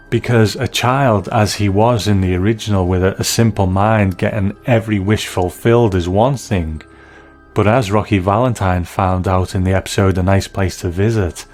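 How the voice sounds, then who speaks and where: A man giving conversational review commentary, reflective and measured, as narrator. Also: lowish voice, a little mellow.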